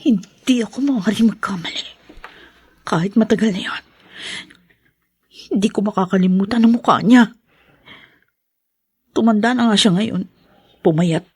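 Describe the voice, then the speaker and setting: A woman's voice: mid-range voice, measured pace, pauses often, breathy edge, dry recording, studio quality. A woman acting a troubled young wife hiding bitter old pain in a Tagalog radio drama. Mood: bitter, anguished.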